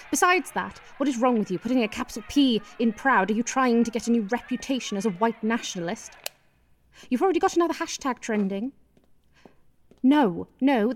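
Radio play: ambitious, scheming wife of a politician, bossy scold, fairly high voice female performer. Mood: exasperated, then flustered.

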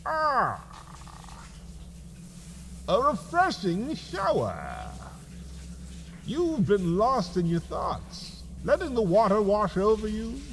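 A man narrating a scripted comic story in the second person, theatrical and melodramatic, with dramatic pauses. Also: sits high, slight background noise, rough recording. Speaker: writer and narrator of a comedy story podcast.

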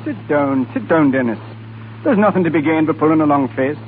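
Man playing a blunt Irish rebel, high-pitched, tinny radio. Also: animated, fairly quick, soft background music, lightly acted. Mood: brisk, blunt. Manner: blunt, challenging, emphatic. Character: hard-line Irish rebel comrade, blunt and menacing. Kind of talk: 1930s radio acting. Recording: tinny 1930s radio recording.

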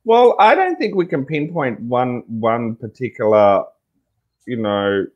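A man's voice: mid-range voice, big pitch swings, slow, darkish tone, highs cut off. A man with an Australian accent speaking earnestly as an interview guest, repeating key phrases for emphasis. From Tasmania, Australia. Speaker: interview guest, mental health advocate.

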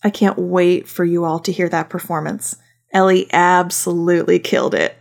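A woman's voice: fairly low pitch, little pitch movement, normal speed, vocal fry. A woman hosting a podcast as a voice director, narrating conversationally with wry asides. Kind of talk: casual semi-scripted podcast narration.

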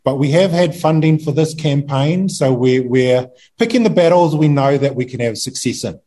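A man's voice: mid-range voice, normal speed, mellow. A man with a New Zealand accent, a campaigner guest speaking hesitantly with many fillers. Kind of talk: casual chat.

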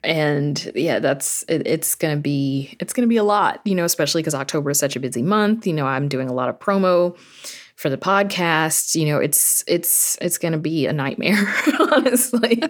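A woman's voice: low voice, lively intonation, moderate pace. A woman speaking as an interview guest, explaining her thoughts casually and peppering them with "you know.". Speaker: podcast creator and narrator, interview guest.

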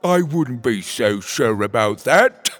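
A man's voice: mid-range voice, expressive, takes it slowly, fairly bright tone, slightly rough, no echo, exaggerated voice. A man voicing a confident, cocky creature with a bill, the hero's friend in a children's fantasy story, in a drawling manner. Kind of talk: children's fantasy audiobook character.

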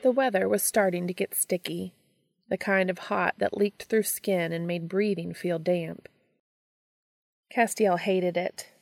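Woman reading fan fiction audiobook style, lowish voice w lots of fry, really dry recording no room sound. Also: fairly even intonation, a little slow, no room sound, soft background music. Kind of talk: scripted story narration.

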